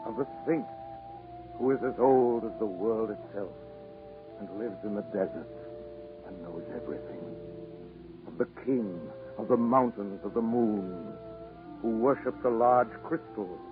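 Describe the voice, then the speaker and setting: A man giving scripted storybook narration in measured phrases, in the style of 1940s radio drama. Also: fairly low pitch, narrow, tinny audio, lightly acted. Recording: 1940s radio broadcast over music.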